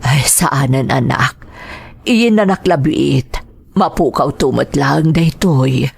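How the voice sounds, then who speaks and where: A woman playing an ailing, gentle mother in an Ilocano radio drama, speaking weakly and haltingly with a raspy voice. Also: rumbling low, exaggerated voice. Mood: weak, reassuring. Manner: weak, hesitant, halting. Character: ailing, gentle, self-sacrificing mother of a schoolgirl.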